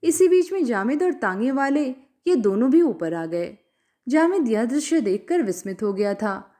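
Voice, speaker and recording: High-pitched, animated woman storyteller. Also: moderate pace. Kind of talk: expressive scripted story narration.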